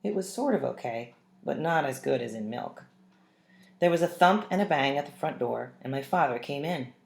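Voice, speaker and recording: A woman with a low, creaky voice reads a children's story aloud. Her delivery is expressive and deliberate, with stress on the dialogue. The recording is roomy and echoing. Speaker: read-aloud narrator. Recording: roomy, echoing room sound.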